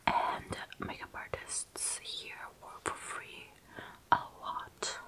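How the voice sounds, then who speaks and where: A young woman from Russia with a Russian accent, whispering softly and closely as an ASMR host answering viewer questions, with pauses and fillers. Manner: soft whisper, close to the mic, halting with fillers. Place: small dark room indoors.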